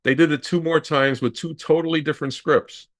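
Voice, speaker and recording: A man speaking as an interview guest in a measured, halting, scholarly way, explaining things conversationally. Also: on the high side, steady tone. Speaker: interview guest, radio historian.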